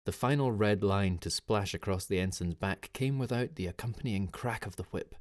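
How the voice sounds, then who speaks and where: Low-voiced male audiobook narrator. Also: moderate pace, a little mellow. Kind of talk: scripted dramatic fiction narration.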